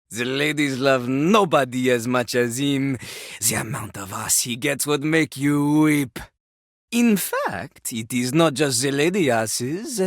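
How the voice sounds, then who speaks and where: A woman's voice: a bass voice, no echo, clean studio sound, heavily acted. A woman voicing a boastful, vulgar male French rapper toilet in a video game, with a French accent and a pompous, theatrical delivery that slips into rap. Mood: boastful, insistent. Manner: pompous, refers to himself in the third person.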